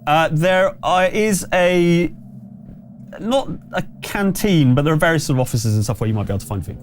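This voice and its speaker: A man's voice: on the high side, lively intonation, normal speed, slight background noise. A man working as game master, improvising tabletop narration in a conversational, explanatory way with hesitant phrasing.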